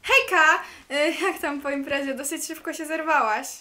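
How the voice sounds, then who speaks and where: A woman's voice: high-pitched, normal speed, bright, forceful tone. A young woman playing a talkative, bold party-lover in an amateur radio drama, gossiping in colloquial speech. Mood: gossipy, chatty. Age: young adult.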